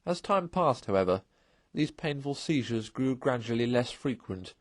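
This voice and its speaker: A man reads a storybook aloud as its narrator. His voice sits at a medium pitch and is recorded close, with very little room sound. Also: lively intonation, moderate pace, dry recording. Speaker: audiobook narrator.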